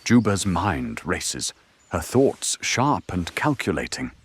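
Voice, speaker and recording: A man's voice: sits low, big pitch swings, slow, slightly rough. A man reading scripted, dramatic narration as the narrator of an audio drama. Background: music.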